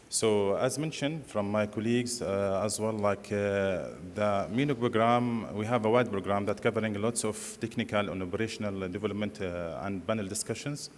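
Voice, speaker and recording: Male session chair, deeper voice, halting delivery, big hall, faint noise. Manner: hesitant, many fillers. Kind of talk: conference announcements. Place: large conference hall.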